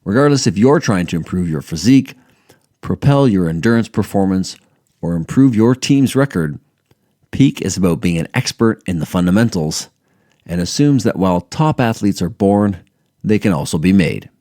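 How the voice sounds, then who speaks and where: A man with a very deep, mellow voice reads a scripted book advert in a smooth, measured announcer style. The sound is dry and close, with no room echo. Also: expressive. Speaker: ad narrator. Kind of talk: scripted promotional read.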